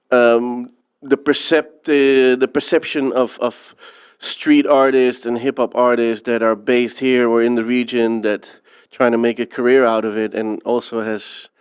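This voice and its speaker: A man from the Netherlands speaks as an interview guest, reflective and earnest as he tells his story. His voice is smooth and resonant, sitting at an ordinary middle pitch for a man. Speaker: filmmaker guest. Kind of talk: interview conversation.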